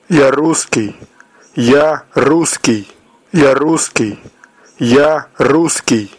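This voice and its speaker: A man repeating one short statement over and over in a flat, monotonous way. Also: medium pitch, breathy edge, no room sound.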